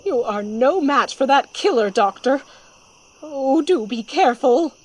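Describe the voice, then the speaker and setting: A woman's voice: sits high, lively intonation, measured pace. A woman playing a watchful, fretful onlooker in an audio drama, muttering to herself. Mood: curious, anxious.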